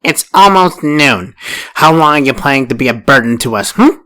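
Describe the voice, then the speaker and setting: A man voicing a tall, domineering older woman, a first wife who sneers and scolds in a slow, scornful voice. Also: deep voice, expressive, voice pushed up. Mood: sneering.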